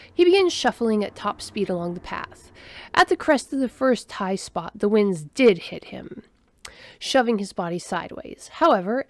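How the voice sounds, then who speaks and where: Gravelly, expressive woman narrating a story. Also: mid-range voice, no echo. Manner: expressive storyteller voicing every character. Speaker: audiobook narrator.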